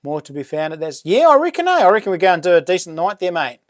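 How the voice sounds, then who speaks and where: A middle-aged New Zealand man with a big, boomy voice talks loosely and at length, full of ums and restarts. His pitch sits a little high for a man. The sound is close and dry, with no room echo. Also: quick pace. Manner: relaxed, rambling, many ums and restarts. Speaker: livestream host. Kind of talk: casual live chat reading comments.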